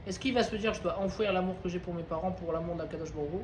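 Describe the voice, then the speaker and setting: A man with a notably high voice leads an informal Torah class, speaking conversationally and turning to his listeners with frequent questions. The recording has a roomy echo from the study hall and a faint bed of ambient noise behind him. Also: rapid-fire. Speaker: Torah teacher.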